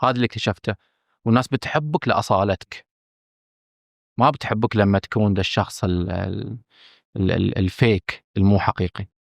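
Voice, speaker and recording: A man with a Bahraini accent, a film director speaking candidly as a podcast guest, emphatic and earnest, often pausing on fillers and restarting. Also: fairly low pitch, vocal fry, no room sound. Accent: Bahraini Arabic. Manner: earnest and emphatic, stressing his frankness, with hesitant fillers and restarts.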